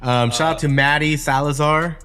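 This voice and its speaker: A man's voice: a little high, fairly even intonation, moderate pace, bright, forceful tone, soft background music. A man co-hosting a podcast with casual, slangy chat. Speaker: podcast co-host.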